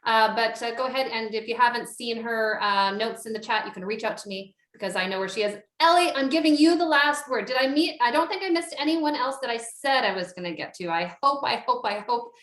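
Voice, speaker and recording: A woman's voice: a little high, animated, fairly quick, few pauses, a bit bright. A Canadian woman hosting a virtual networking event, chatty, upbeat and encouraging. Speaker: event host. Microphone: video call. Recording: echoey room on a video call.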